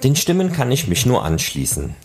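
A man's voice: medium pitch, huge pitch swings, moderate pace, background noise. A man hosting a podcast, reading scripted narration. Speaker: podcast host.

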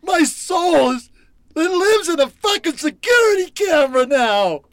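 Man acting a panicked high-pitched captive. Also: sing-song, fairly bright tone, no room sound, studio quality, full character voice. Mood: bewildered, horrified. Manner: halting, melodramatic, distraught. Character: panicked, foul-mouthed captive man pleading and scheming to escape. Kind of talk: old-time radio horror acting.

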